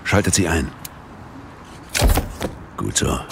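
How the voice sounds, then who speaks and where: A man's voice: low-pitched, sing-song, slow pace, gravelly, very noisy, full character voice. An actor playing a stern, irritable male police squad commander, terse and commanding, in an audio drama. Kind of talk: German audio drama acting.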